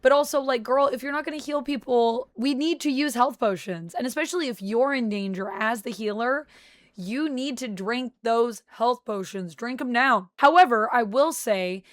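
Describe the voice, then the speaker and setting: Brief: young woman host, a touch sick, higher voice, dry close sound, chatty enthusiastic reviewer. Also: moderate pace, dry recording. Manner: chatty, enthusiastic fan talk. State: sick, voice a little weird. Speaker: channel host reviewing a show. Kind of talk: casual review vlog.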